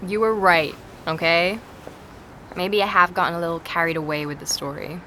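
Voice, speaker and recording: A woman's voice: fairly low pitch, fairly even intonation, sharp tone, a little hiss or hum. An actress playing a curious young woman podcaster who digs into a mystery, asking eager questions in an audio drama. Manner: eager, inquisitive, quick to question. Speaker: podcast host investigating a story.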